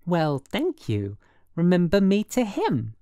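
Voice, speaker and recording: A woman's voice: fairly low pitch, expressive, takes it slowly, clean tone, dry recording, clean studio sound, pitched above the performer's own voice. A woman voicing a brassy working gal in an audiobook, slangy and suspicious, with affectedly genteel airs. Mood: surprised, warming.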